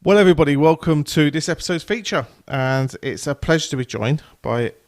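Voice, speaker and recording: A British man hosting a podcast in an informal, halting way, with frequent filler sounds as he presents and interviews. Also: a little high, lively intonation. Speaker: podcast host and news presenter.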